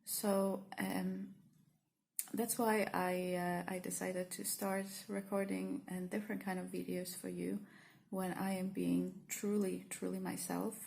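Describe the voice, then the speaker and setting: A woman's voice: medium pitch, flat delivery, a little slow, gravelly, darkish tone. A woman with a Polish accent talks to camera in an unscripted, reflective way, hesitant and earnest, full of fillers and restarts. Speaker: spiritual teacher and vlogger. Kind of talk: spontaneous reflective monologue to camera.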